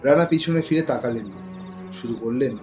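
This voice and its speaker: A male narrator reads a suspense story dramatically. His voice sits at a middle pitch, with music playing behind him. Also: normal speed, a little mellow. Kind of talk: scripted dramatic thriller narration.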